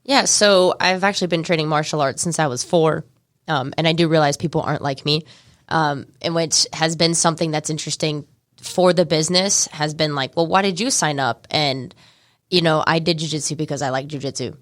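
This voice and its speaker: A young woman with an American accent, a martial arts studio owner chatting as a radio guest in a studio, telling stories quickly. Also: low-pitched, steady tone, dry recording. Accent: American, Georgia. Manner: chatty, quick storytelling with laughs. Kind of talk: casual interview chat.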